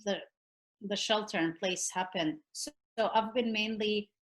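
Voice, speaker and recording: A middle-aged woman with a Middle Eastern accent, speaking earnestly on a video call from home and often pausing to search for words. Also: mid-range voice. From Middle East. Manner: earnest, searching for words with many fillers and restarts. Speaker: guest, peace activist. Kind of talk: reflective conversation. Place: room at home. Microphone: laptop mic on a video call.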